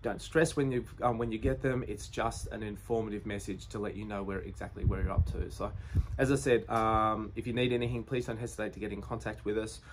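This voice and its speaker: An Australian man in his forties speaks straight to camera outside a brick house, his voice sitting a little low. He talks in a plain, reassuring way, loose and unscripted, with faint outdoor ambience behind him. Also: fairly even intonation, a little fast, a little hiss or hum. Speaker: real estate agency owner. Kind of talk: direct-to-camera business update. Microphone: phone or camera at close range.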